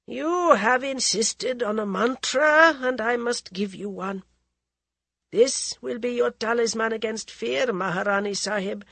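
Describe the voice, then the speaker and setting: Woman reading a solemn ascetic, slow and intoning, husky voice. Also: a little high, a bit bright, no echo, voice pushed up, heavily acted. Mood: stern. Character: stern solemn female ascetic holy woman.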